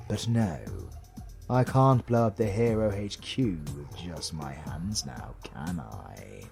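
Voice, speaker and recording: A man's voice: sits low, creaky, a little mellow, exaggerated voice. A British man playing a theatrical supervillain in a romantic comedy audio roleplay, swinging between menace and exasperation at the heroine he is smitten with. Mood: smug, menacing. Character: flustered theatrical male supervillain, smitten with his heroine rival.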